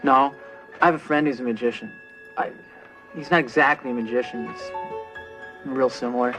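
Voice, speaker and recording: A man's voice: mid-range voice, steady tone, natural voice, poor audio. Awkward storybook youth, played by a man, lovesick and boyish, mid-range voice, music underneath. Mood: flustered. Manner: halting, boyishly earnest. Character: bashful, awkward, lovesick young man, Aladdin. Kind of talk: fairy-tale TV acting. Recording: music playing under dialogue.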